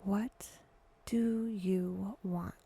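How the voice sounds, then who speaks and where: A woman guiding a meditation in a hushed voice that drifts toward a whisper, speaking deliberately in short phrases with long pauses. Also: medium pitch, fairly even intonation, vocal fry, slightly rough. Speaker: guided meditation host. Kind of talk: slow, breathy guided meditation narration.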